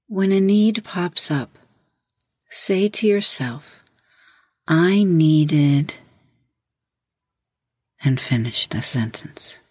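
A woman leading a guided meditation as host, giving measured, instructional prompts with long pauses. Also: low-pitched, lively intonation, darkish tone, husky, dry recording, studio quality.

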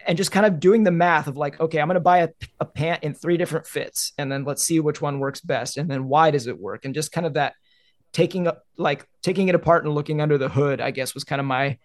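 A man's voice: a little high, fast talker, no room sound. A young man chatting casually as a guest, rambling with many "like"s. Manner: rambling, heavy use of "like". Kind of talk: casual conversation.

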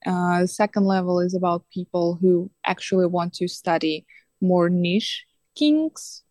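A woman's voice: on the low side, measured pace, dark tone, no room sound. Woman, dry sound. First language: Russian. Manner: earnest, slightly non-native phrasing with fillers. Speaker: guest, coach and teacher. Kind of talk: casual podcast interview.

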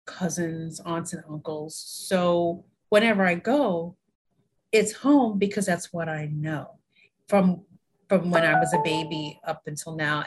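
A woman's voice: low voice, slight background noise. A woman in her forties from New York, a health education consultant talking earnestly as an interview guest, with frequent fillers and easy laughter. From New York, USA. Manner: warm, earnest, laughs often, many fillers. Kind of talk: casual interview conversation.

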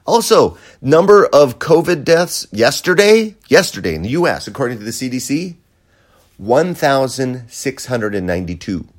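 A man's voice: mid-range voice, big pitch swings, normal speed. An American man hosting a podcast, delivering a sarcastic, profane opinion rant. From United States. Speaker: podcast host. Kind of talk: opinion monologue.

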